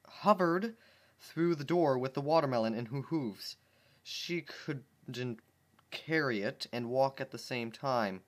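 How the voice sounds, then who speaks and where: A man's voice: medium pitch, a bit bright. A man reading fan fiction aloud at home, breaking off for sarcastic, exasperated commentary. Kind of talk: dramatic reading with comic asides.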